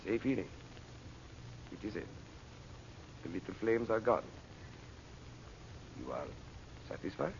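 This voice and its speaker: An actor playing a sly sorcerer of French and Egyptian birth, speaking with a French accent in theatrical, sinister 1930s radio drama style. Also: male voice, on the low side, very animated, slow pace, creaky, pauses often, heavily acted. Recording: lo-fi old radio transcription with hiss.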